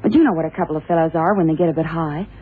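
An actress playing a detective's worried, loyal secretary in a radio drama, earnest and hesitant with a husky voice. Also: female voice, deep voice, racing, slight background noise, natural voice. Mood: worried, defensive. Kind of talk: 1960s-70s radio drama acting. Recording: tinny phone-like vintage radio drama.

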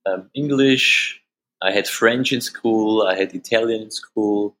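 A man from Austria whose first language is German, speaking English with an Austrian German accent as an interview guest, halting and repeating words, often laughing. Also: on the low side, fairly bright tone, poor audio. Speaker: musician guest. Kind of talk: casual interview answers.

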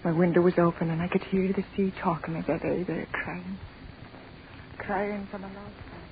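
A woman's voice: a little high, lively intonation, normal speed, natural voice. A woman playing an anguished, devout old Irish widow in a radio drama, her voice tremulous and pleading. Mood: grieving, bitter. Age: older. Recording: tinny, noisy old radio recording.

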